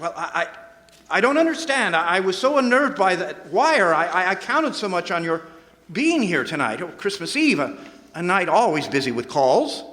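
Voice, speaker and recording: Fretful male hospital chief, actor-played, slightly high voice, halting delivery, radio drama in a reverberant hall. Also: sing-song, a bit bright. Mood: flustered, disbelieving. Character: flustered, anxious, earnest male hospital administrator doctor. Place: live stage performance in a hall. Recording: echoey live hall.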